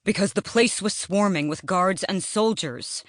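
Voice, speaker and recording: A woman's voice: medium pitch, measured pace, no echo, clean studio sound, pitched above the performer's own voice, natural voice. A woman voicing a defiant young male assassin in an audiobook, with heated, scornful retorts. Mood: bursting out.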